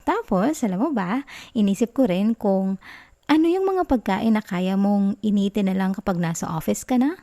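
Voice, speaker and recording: Female, Filipino accent, acting as a sweet, teasing girlfriend; soft, dark-toned, intimate ASMR delivery. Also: mid-range voice, lively intonation, moderate pace, close to an everyday voice. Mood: proud, caring. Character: affectionate, caring young girlfriend, playful and sweet. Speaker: ASMR roleplay performer. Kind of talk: affectionate girlfriend ASMR roleplay in Taglish.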